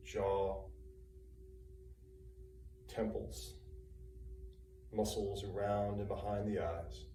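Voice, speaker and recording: Mellow, low-voiced man guiding meditation. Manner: calm, unhurried, soothing, with pauses between short phrases. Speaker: personal trainer leading guided meditation. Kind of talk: guided meditation, gentle spoken instruction. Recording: roomy, distant mic, faint hum and noise.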